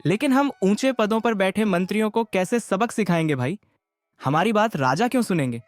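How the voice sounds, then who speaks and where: Guy doing a worried village chief in a cartoon tale, really high for a man, faint music. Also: lively intonation, brisk. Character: worried village headman, anxious and familiar. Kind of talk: animated moral story dialogue.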